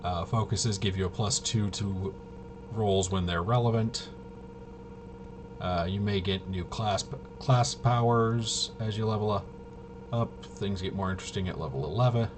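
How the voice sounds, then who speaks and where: A man hosting a casual, unscripted tabletop game walkthrough, halting and rambling as he thinks aloud. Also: fairly low pitch, background noise. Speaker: host of a tabletop RPG channel.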